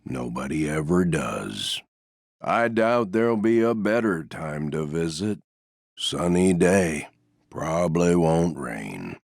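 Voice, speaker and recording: A man voicing a gloomy, resigned, self-effacing stuffed donkey for a video game, with a mournful, deadpan, sighing delivery. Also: extremely low pitch, takes it slowly, vocal fry, no echo, studio quality, exaggerated voice. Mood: glum, deadpan. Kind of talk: video game character voice lines.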